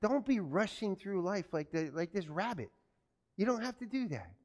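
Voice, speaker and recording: A pastor giving a children's sermon in a church, telling an animated story and asking the children questions. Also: male voice, sits high, normal speed. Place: church sanctuary. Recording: echoey church from far off.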